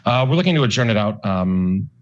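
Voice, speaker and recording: A man's voice: lowish voice, expressive, fairly quick. A man addressing a judge as a defense attorney in spontaneous courtroom speech. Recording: dull courtroom audio feed.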